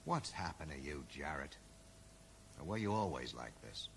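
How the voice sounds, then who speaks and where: A man's voice: extremely low pitch, sing-song, moderate pace, vocal fry, a bit bright, full character voice. An actor in a 1980s British radio drama playing a disillusioned, morally indignant man, terse, probing and sardonic. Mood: accusing. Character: disillusioned, morally indignant man confronting his former best friend. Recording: radio drama recording with faint noise.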